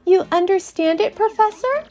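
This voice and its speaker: Woman playing a high-pitched, peppy young creature sidekick. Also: lively intonation, normal speed, a little mellow, soft background music. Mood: curious. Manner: exclaiming, peppy, full of questions. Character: eager, inquisitive young female cartoon creature sidekick.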